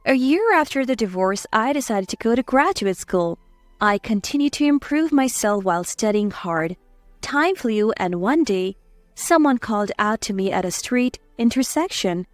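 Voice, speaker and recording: A woman's voice: on the high side, animated, normal speed, no room sound, faint music behind. A woman reading scripted dramatic story narration.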